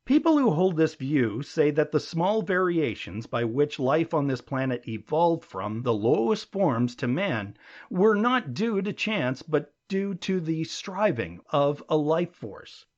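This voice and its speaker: A man with a slightly high voice reads a reasoned essay as an audiobook narrator. His delivery is measured and emphatic, with very lively rises and falls in pitch to drive each rhetorical point. The recording is somewhat muffled and lo-fi. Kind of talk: scripted audiobook reading of an essay.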